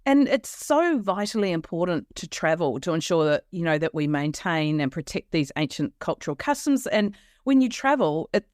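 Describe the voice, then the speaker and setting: A woman with an Australian accent hosting a travel podcast, talking with lively enthusiasm and frequent restarts. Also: on the low side, normal speed, hardly any pauses, dry recording, clean studio sound. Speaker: podcast host. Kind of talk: casual interview chat.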